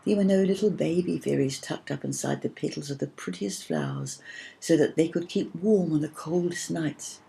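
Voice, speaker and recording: A woman's voice: low-pitched, sing-song, moderate pace, creaky, warm tone, exaggerated voice, low-quality recording. A woman voicing a firm, imaginative girl who tells a children's story patiently and dramatically. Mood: storytelling.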